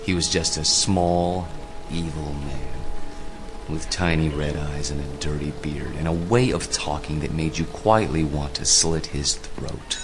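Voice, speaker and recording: An actor in a radio play playing a captivating history professor who lectures with measured, theatrical intensity. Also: male voice, rumbling low, noisy background. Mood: bitter contempt.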